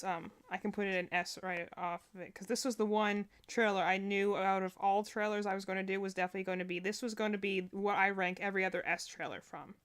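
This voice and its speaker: A woman's voice: mid-range voice, fairly bright tone, clean tone. A woman giving casual, gushing live-stream reactions to game trailers. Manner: enthusiastic, gushing.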